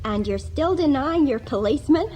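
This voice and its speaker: High-pitched, feisty young woman, animated. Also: moderate pace. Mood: indignant. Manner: indignant, plain-spoken. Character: exasperated, feisty young woman under interrogation. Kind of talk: radio drama acting. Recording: faintly noisy 1980s radio drama.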